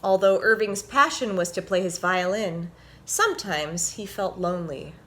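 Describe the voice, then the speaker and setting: A woman presenting and reading a children's story aloud in an expressive, clearly told storytelling manner. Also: lowish voice, normal speed.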